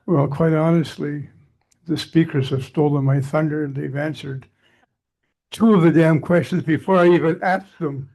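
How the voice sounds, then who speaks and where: An older man from Canada gives a relaxed, joking talk to a society meeting, wandering off into asides. His voice is scratchy and gravelly, with a husky edge. He stays fairly even in pitch and sounds a little higher than most men. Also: normal speed. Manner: chatty, jokey and digressive. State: scratchy from allergies. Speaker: guest speaker.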